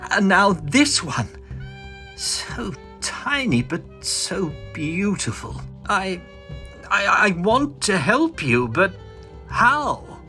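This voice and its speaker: An embittered little mad scientist in a melodramatic audio drama. The voice is fairly high and sharp-edged, halting and pleading as it tells the tale, with faint music underneath. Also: male voice, sing-song, full character voice. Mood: awed, smitten. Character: small, bitter, frantic mad scientist, male professor.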